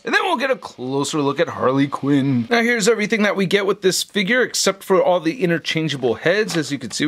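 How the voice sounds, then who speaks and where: A man's voice: a little high, fairly bright tone. A man giving a chatty, informal hands-on toy review in a casual style.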